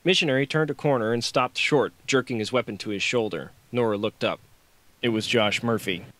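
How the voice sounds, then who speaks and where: A man's voice: medium pitch, fairly quick, fairly bright tone, no echo. A man narrating a novel as an audiobook, reading scripted fiction on a close microphone.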